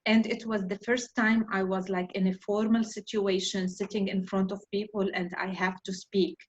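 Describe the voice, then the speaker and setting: A woman's voice: medium pitch, flat delivery, normal speed, lo-fi sound. Lebanese-accented woman hosting a group session. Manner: reflective, personal storytelling. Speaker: mastermind group host. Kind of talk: online group discussion.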